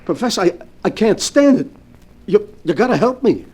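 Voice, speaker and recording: A man's voice: sits high, sing-song, moderate pace, darkish tone, slightly rough, exaggerated voice. A man acting a desperate, tormented gangster in 1940s radio-drama style, stammering and panicky.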